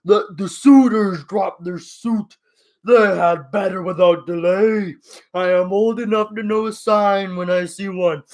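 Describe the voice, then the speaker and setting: A woman's voice: on the low side, darkish tone, voice pushed up. Old male seer, grave warning tone, read by a woman.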